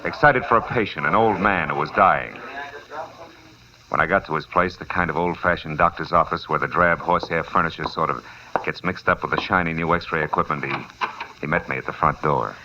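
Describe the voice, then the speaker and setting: A weary American private eye from 1950s radio drama, very low in pitch. He talks in wry, clipped phrases like a seasoned storyteller. The sound is muffled vintage radio with clear background chatter. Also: a little fast. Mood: reflective narration. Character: weary, hard-boiled male private detective. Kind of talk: 1950s radio detective acting.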